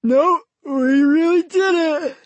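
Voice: sits high, slow, no echo, voice pushed up. A narrator doing cocky prankster boy Johnny. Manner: cocky, mocking.

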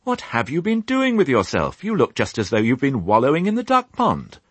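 Male narrator as a warmly fussing mother sheepdog, slightly raised, lively voice, storybook. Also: medium pitch, moderate pace, breathy edge, dry recording, pitched above the performer's own voice. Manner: gentle, coaxing mother's tone. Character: motherly female sheepdog, gentle and proud.

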